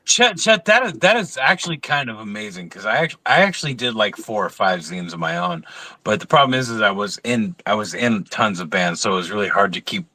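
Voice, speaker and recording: A man chatting casually on a panel, telling stories in a rambling, animated way with some swearing. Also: fairly low pitch, brisk, no echo. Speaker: panel guest.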